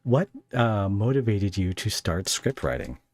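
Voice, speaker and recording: A man's voice: on the low side, very animated, a little slow. A man hosting a casual interview, talking in a rambling, stop-start way. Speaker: podcast host interviewing a guest.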